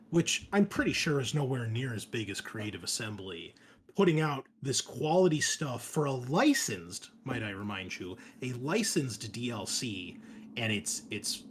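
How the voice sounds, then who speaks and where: A man speaks at a middling pitch as a game master and host, chatting casually with enthusiasm and easy swearing. There is a faint layer of noise on the recording. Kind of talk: casual chat and tabletop game narration.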